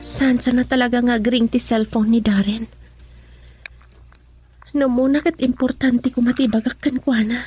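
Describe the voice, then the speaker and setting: A woman's voice: on the high side, steady tone, moderate pace, pauses often, mellow, husky, faint music behind. An actress playing an anxious, suspicious young woman in a radio drama, with a Filipino accent. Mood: uneasy.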